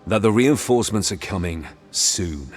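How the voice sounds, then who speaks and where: A man's voice: sits low, lively intonation, normal speed. An actor playing a bold male warrior who answers in short, confident lines. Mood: terse. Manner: terse, confident.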